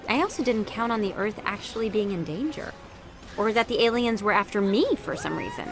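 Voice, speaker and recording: A woman playing a down-to-earth young giant heroine in a video game, conversational and gently sardonic. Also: fairly high pitch, wildly swooping pitch, fairly quick, over music. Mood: reflective. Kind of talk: animated video game voice acting.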